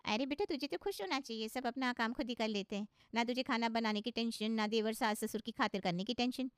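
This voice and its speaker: Woman playing a high-voiced gossipy auntie. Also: a little fast, clean tone, no echo, clean studio sound, lightly acted. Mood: reassuring. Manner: chatty, knowing. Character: gossipy, worldly neighbourhood auntie. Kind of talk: cartoon story dialogue.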